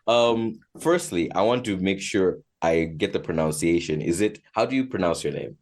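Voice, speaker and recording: A man with a very low voice hosts a conversational podcast interview. His pitch moves around in a lively, expressive way as he talks with his guest. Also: moderate pace. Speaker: podcast host.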